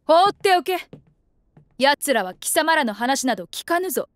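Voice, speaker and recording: High-voiced imperious young queen. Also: big pitch swings, moderate pace, no room sound. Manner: imperious, archaic diction. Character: proud, commanding young fantasy queen.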